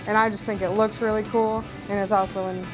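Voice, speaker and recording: A woman's voice: medium pitch, flat delivery, brisk, rough recording. Sincere young woman graduate. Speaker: graduate, welder. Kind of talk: promotional interview. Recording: music bed underneath.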